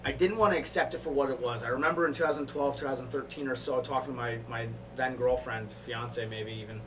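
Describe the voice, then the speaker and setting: A man with a mid-pitched voice tells a personal story as a podcast guest. His delivery is candid, reflective and casual. He sounds a bit away from the microphone, with noticeable noise around him. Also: fast, far from the mic. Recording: off-mic with clear background noise.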